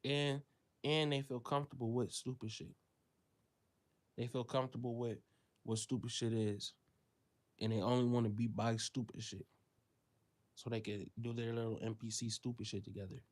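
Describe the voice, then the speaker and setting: A man from the United States speaking in an unscripted commentary monologue. He rants with plenty of profanity, sinking into low, quiet stretches and then leaping up into loud, high-pitched bursts when he mocks others. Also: level tone, normal speed, long pauses. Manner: ranting, profane, swings from low mutter to loud exclaiming.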